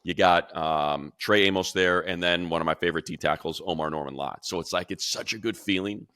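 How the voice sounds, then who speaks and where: A man co-hosting a football podcast, talking fast and excitedly in a rambling way, full of fillers and restarts. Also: low voice, smooth, no room sound, studio quality. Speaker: radio play-by-play announcer and co-host. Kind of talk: casual football podcast analysis.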